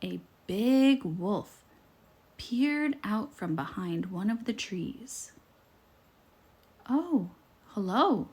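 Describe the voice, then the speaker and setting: A woman reading a scripted children's fairytale aloud in a deliberate, expressive storytelling manner. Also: medium pitch, husky.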